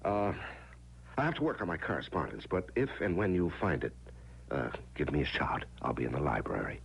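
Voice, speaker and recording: A deep-voiced, harried husband in an old radio drama, over faint hiss and hum. His pitch swings widely as he hedges and soothes, halting and evasive. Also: very animated, creaky, full character voice. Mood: hesitant, cagey. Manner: hesitant, halting, placating. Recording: noisy vintage radio recording with hum.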